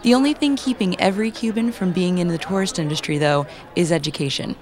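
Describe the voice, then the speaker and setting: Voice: medium pitch, fast talker, a little mellow. A documentary narrator reading a scripted travelogue.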